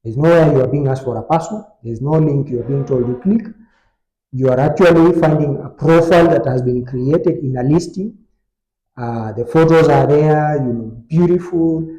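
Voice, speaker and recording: A man on a podcast, explaining an online scam step by step in a relaxed conversational way, with frequent fillers. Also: on the high side, moderate pace, reverberant, poor audio. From Kenya. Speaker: technology expert on a podcast. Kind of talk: conversational storytelling.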